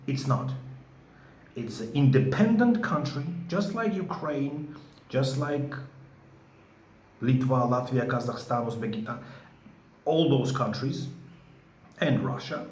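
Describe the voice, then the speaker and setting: A man's voice: mid-range voice, a little mellow, roomy sound, slight background noise. A man with a Georgian accent, a record collector making an insistent vlog and often asking "okay?". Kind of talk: to-camera vlog.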